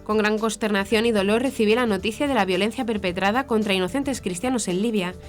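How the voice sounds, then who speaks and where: A woman's voice: mid-range voice, quick pace. A woman reads scripted news in a formal manner. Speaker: news presenter. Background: background music.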